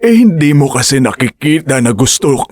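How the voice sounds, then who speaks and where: Meet an older fisherman father in a radio play, gently scolding his son in a fatherly way. His voice sits mid-pitch, and the recording is close and dry. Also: big pitch swings, normal speed, no echo. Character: wise older fisherman father giving counsel. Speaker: radio drama actor. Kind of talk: broadcast radio drama acting.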